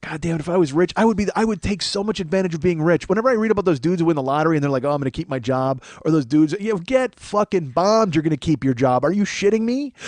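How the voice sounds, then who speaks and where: Excitable, profane male podcast host. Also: high voice, no room sound. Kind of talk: rambling comedic monologue. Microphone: studio microphone.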